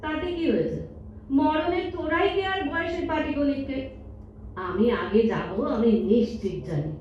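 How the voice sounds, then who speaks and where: A woman's voice: high-pitched, moderate pace, a little mellow, close to an everyday voice. A woman playing a feisty old wife who fondly scolds and banters in a stage audio drama. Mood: fondly defiant. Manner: scolding, teasing, stubborn. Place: multipurpose hall stage. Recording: distant echoey hall, dull, faint noise.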